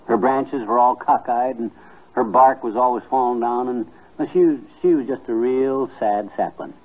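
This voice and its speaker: A man's voice: medium pitch, moderate pace, slightly rough, lightly acted. An actor playing a kindly, folksy man telling stories to a child in homespun, hesitant speech, in 1950s radio comedy style. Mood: folksy, warm. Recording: muffled vintage 1950s radio recording.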